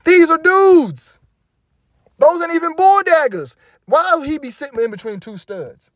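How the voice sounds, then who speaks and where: A man's voice: very high voice, expressive, measured pace, a bit bright, no room sound, studio quality. A man giving casual, indignant reaction commentary over music videos, rambling and emphatic.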